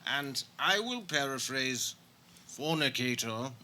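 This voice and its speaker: A man's voice: medium pitch, expressive, slow, pushed and bright, slight background noise, exaggerated voice. A man playing a prim, pompous 1920s lord and father in a comic radio play, speaking in stilted formal language. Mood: scandalized, delicate. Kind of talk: live comic radio theater acting. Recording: music behind, live backyard show.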